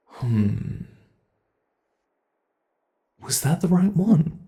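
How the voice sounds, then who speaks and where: A man's voice: a little high, wildly swooping pitch, mellow. A man with a British accent playing a cruel monster under the bed that mocks and toys with its trapped prey in a horror roleplay. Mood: menacing. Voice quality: gravelly, slow, drawn-out delivery. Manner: menacing, mocking, savoring. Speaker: ASMR roleplay performer.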